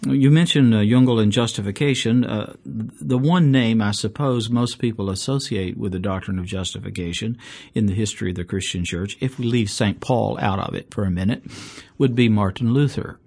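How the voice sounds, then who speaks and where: A man's voice: fairly low pitch, normal speed, creaky, few pauses, a little mellow. Courteous man hosting an interview. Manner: courteous, leading questions. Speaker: podcast host.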